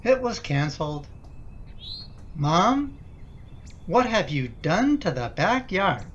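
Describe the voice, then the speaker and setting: Harried suburban dad, acted by a woman, slow, muffled. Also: on the low side, very animated, husky, exaggerated voice. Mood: exasperated, indignant. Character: harried, placating middle-aged husband and exasperated father. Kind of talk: radio play acting. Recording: dull, muffled recording.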